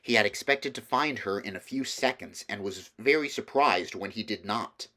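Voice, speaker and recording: Male audiobook reader, fairly low voice, storybook narration. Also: normal speed, pushed and bright.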